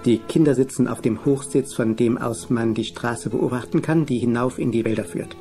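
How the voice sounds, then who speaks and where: A man's voice: mid-range voice, moderate pace, mellow, soft background music. A man narrating a children's radio play from a script.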